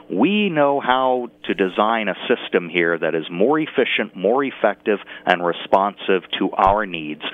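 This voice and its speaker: An American man speaking as an interview guest, explaining and advocating in a measured, articulate way. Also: on the low side. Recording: phone-like narrow-band radio call-in line.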